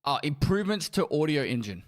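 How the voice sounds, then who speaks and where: A man's voice: on the high side, very animated, fairly quick. A man hosting a podcast, chatting in a jokey, exasperated way. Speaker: podcast host. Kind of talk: casual podcast chat.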